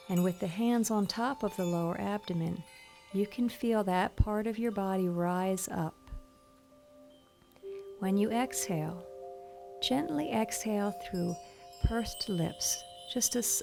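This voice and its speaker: A woman leading a guided meditation in a soothing, gentle, instructional manner. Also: mid-range voice. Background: soft meditation music with chimes.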